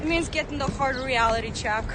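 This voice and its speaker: A woman's voice: high voice, brisk, over a noisy crowd. A woman, an English speaker, chatting casually and a little hesitantly as she thinks aloud. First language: non-native English speaker. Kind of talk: casual chat.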